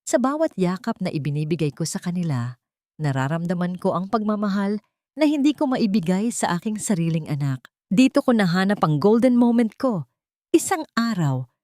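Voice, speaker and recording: Low-pitched woman narrating a drama. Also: darkish tone, no room sound, clean studio sound. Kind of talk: dramatic first-person scripted story narration.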